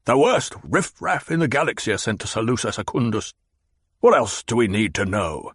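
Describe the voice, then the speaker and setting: A man's voice: fairly low pitch, big pitch swings, moderate pace, fairly bright tone, husky, dry recording, full character voice. A man voicing a fat, petulant, demanding baron who blusters and growls. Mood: blustering.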